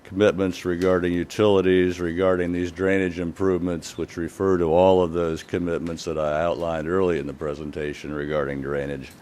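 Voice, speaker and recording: A man's voice: low voice, flat delivery, a little fast, hardly any pauses, darkish tone, a little hiss or hum. A man presenting to a planning board for an applicant, running through a list of commitments with some hesitation. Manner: listing points, hesitant at first.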